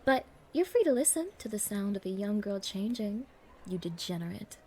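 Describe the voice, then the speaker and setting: A woman's voice: mid-range voice, sing-song, moderate pace, a little mellow, slight background noise, lightly acted. A woman playing a spoiled, contemptuous young gold digger in an ASMR roleplay, talking in a condescending, mocking, bossy way. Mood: scornful. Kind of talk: ASMR roleplay audio acting.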